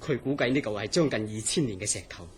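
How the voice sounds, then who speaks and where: An actor playing an earnest, mysterious scientist in a horror radio drama, telling his story in a serious, deliberate way. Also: on the low side, lively intonation, close to an everyday voice. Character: earnest, mysterious scientist recounting uncanny dreams. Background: background music.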